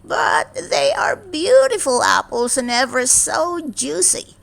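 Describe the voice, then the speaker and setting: Storybook villain: sly old peasant woman, high-pitched, slow, coaxing delivery. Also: big pitch swings, gravelly, a bit bright, full character voice. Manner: coaxing, wheedling, drawn-out phrasing.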